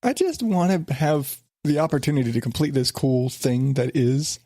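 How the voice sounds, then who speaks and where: Male podcast host, congested, rambling and exasperated, mid-pitched, dry booth sound. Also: lively intonation, normal speed, dark tone, dry recording. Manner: rambling, exasperated, many restarts. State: stuffed up with a sinus infection. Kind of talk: casual solo podcast.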